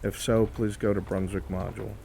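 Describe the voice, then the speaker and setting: A man's voice: lowish voice, level tone, a little fast, gravelly, darkish tone, slight background noise. A man giving casual instructions to volunteer players as game master of a live roleplay.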